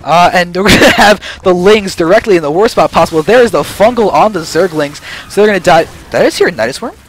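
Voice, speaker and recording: High-pitched young male esports caster. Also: fast, low-quality recording. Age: young adult. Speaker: esports commentator. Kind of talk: live StarCraft match commentary.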